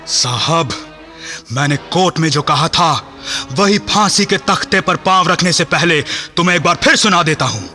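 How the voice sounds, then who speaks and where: An actor playing a defiant, unrepentant Indian revolutionary prisoner in a radio play, speaking in an impassioned, declamatory way. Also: male voice, fairly high pitch, normal speed, sharp tone, faint music behind.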